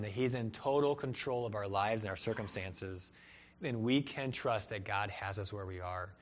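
A man's voice: mid-range voice, a bit bright. Earnest young male preacher. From Peru and Cleveland, Ohio. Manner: earnest, rises and falls for emphasis. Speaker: pastor. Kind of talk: sermon.

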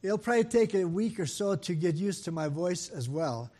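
Soft-voiced older man preaching. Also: sits high, lively intonation. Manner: speaks fast, with frequent fillers and restarts. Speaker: guest preacher. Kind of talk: sermon. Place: church sanctuary. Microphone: microphone.

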